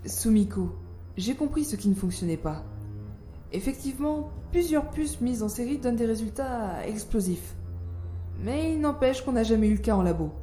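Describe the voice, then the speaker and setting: Woman doing a calculating lady scientist, highish mellow voice, persuasive, audio drama in a roomy space. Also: animated, moderate pace, close to an everyday voice. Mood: eager, self-assured. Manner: articulate, persuasive, self-assured. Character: ambitious, calculating female scientist, coolly persuasive. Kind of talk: scripted audio drama acting. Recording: roomy sound, faint music behind.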